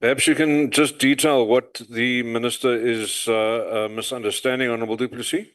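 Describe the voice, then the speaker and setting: Measured man chairing. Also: medium pitch, steady tone, fairly bright tone. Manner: measured, procedural. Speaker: house chairperson. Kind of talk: chairing a parliamentary session.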